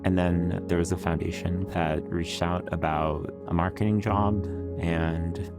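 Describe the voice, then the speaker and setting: A man from El Salvador telling his personal story in a measured, reflective way. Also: sits low, fairly even intonation. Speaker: research interviewee. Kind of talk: personal testimony. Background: music.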